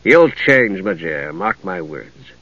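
A man voicing a proud, impatient knight with a curt, gruff manner in a fantasy audiobook. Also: a little high, wildly swooping pitch, slow, a bit bright, dry recording, highs cut off, heavily acted. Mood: challenging.

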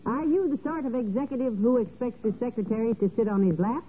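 A woman's voice: fairly high pitch, expressive, quick pace, breathy edge. A woman playing a dry, teasing, indulgent wife in a 1940s radio comedy. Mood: playful. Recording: muffled, hissy old radio recording.

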